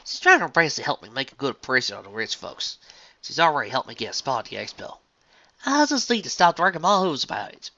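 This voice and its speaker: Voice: medium pitch, sing-song, fast talker, pushed and bright, no room sound, muffled recording, full character voice. A narrator voicing a plain-spoken, stubborn young female farm pony with a folksy way of talking. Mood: wistful. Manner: folksy, rustic country talk.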